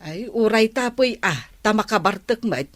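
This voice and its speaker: A woman's voice: mid-range voice, animated, normal speed, dry recording, natural voice. A woman with an Ilocano accent playing a nagging, plain-spoken village wife in a radio comedy drama. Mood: scolding.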